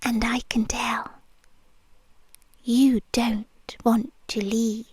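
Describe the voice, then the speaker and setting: Brief: British woman as a controlling nurse, breathy ear-close whispers, very slow, high voice. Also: little pitch movement, darkish tone, dry recording. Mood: coaxing. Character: possessive female nurse, soft and controlling. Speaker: ASMR roleplay performer. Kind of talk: whispered ASMR roleplay.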